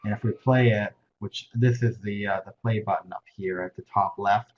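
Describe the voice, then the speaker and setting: Young guy teaching over a webinar, soft voice, lots of uhs, muffled webcam mic, bit of hiss. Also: lowish voice, steady tone. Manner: soft-spoken, halting with many uh fillers. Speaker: teaching assistant. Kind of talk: webinar screen-share tutorial. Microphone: webcam microphone.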